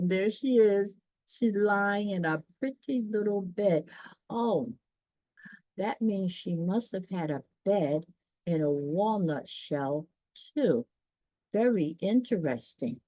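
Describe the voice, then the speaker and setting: A woman's voice: medium pitch, a little mellow, no room sound, dull audio. A woman reading a children's story aloud expressively, with folksy, chatty asides to her listeners.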